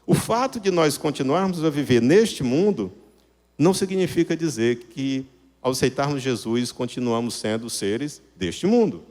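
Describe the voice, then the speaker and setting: A man's voice: medium pitch, animated, moderate pace, darkish tone. A man preaching a sermon to a congregation, explaining didactically and rephrasing points with rhetorical questions. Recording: reverberant hall sound.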